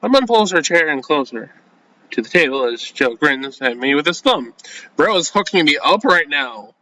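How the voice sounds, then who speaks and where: A bright-voiced man reads a visual novel aloud as a gaming host. His pitch sits a little high and moves around a lot. He often stumbles and breaks off into flustered, casual asides. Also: sing-song, low-quality recording. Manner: flustered, halting, self-censoring with casual slang.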